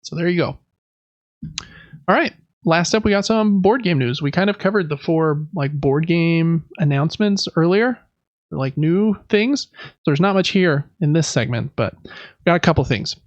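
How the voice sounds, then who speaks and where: A man's voice: fairly high pitch, lively intonation, normal speed, no room sound, dull audio. A man hosting a gaming podcast, running through news in a casual, conversational and informal way. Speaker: podcast host.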